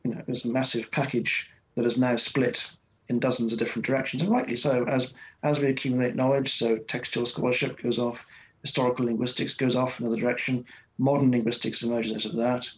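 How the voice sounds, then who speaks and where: A man from Wales, a guest scholar talking in a relaxed interview, rambling with lots of restarts and fillers. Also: mid-range voice, fast talker, muffled recording. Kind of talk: conversational interview.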